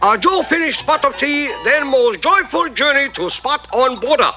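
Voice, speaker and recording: A man's voice: very high-pitched, expressive, moderate pace, sharp tone, lo-fi sound, exaggerated voice. An actor voicing a polite, clever little male detective sidekick in a 1960s TV cartoon, with clipped broken English and excessive courtesy. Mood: formal. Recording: music bed under dialogue.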